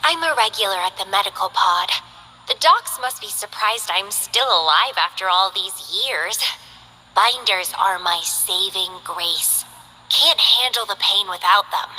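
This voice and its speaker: A woman's voice: mid-range voice, animated, a little slow, bright, forceful tone, in a large hall, soft background music, exaggerated voice, low-quality recording. A woman voicing a languid, bored young mercenary who loves plants, in game character voice lines with a lazy, teasing drawl.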